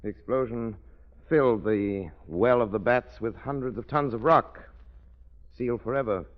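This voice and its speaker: An actor playing a suave, confident gentleman sleuth in composed, theatrical 1930s radio drama style. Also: mid-range voice, normal speed, close to an everyday voice. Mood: calm satisfaction. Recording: dull 1930s radio, music behind.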